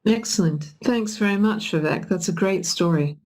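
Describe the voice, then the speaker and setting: A woman's voice: medium pitch, normal speed, darkish tone. A woman moderating a panel discussion, upbeat, thanking speakers and passing the floor with questions. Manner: upbeat, brief thanks and handovers.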